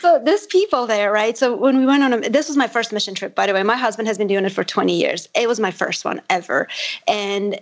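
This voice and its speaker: A woman's voice: medium pitch, a little fast. A woman whose first language is Armenian, hosting a podcast and telling stories in a casual, self-mocking way. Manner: warm storyteller, laughs at herself. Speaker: podcast host. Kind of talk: casual conversation.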